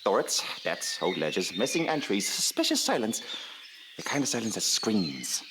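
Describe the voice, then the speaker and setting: An actor playing an eccentric, excitable rent collector in an audio drama, talking in clipped, repetitive, breathless bursts. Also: male voice, lowish voice, normal speed, background noise. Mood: excited, hurried.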